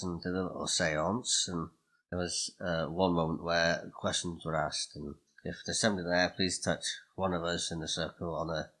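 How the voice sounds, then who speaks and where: Deep, bright-voiced man reminiscing. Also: slightly rough. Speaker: podcast host. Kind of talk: casual storytelling chat.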